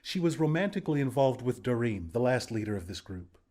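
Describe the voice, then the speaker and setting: An actor playing an earnest, friendly young rebel leader in a video game, speaking in a sincere, conversational way. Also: male voice, mid-range voice, big pitch swings, fairly quick, darkish tone, natural voice. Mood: cautioning, sympathetic. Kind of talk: video game character dialogue.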